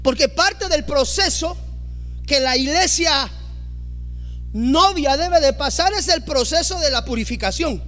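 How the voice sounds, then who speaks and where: High-voiced man preaching emphatically. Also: a little slow, faint music behind. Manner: emphatic, rising to loud exclamations, acting out quoted dialogue. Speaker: pastor. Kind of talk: preaching a sermon.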